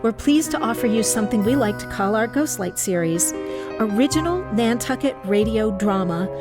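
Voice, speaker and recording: A woman's voice: a little high, lively intonation, normal speed. A woman giving a scripted introduction as a theater's artistic director. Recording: loud music behind.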